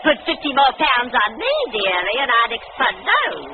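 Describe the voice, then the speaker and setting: A woman's voice: on the high side, sing-song, normal speed, noisy background. An actress playing a brash, very fat carnival woman with familiar, exclamatory talk in 1940s radio drama style. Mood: jolly, familiar. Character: brash, very fat carnival woman, jolly but easily alarmed. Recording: dull old radio recording.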